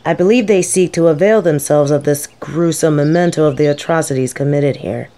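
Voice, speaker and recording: A woman playing a gentle, scholarly female professor in an audio roleplay, speaking thoughtfully in formal words. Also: deep voice, fairly quick, a little hiss or hum, close to an everyday voice. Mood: measured, grave. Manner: soft-spoken, thoughtful, formal wording.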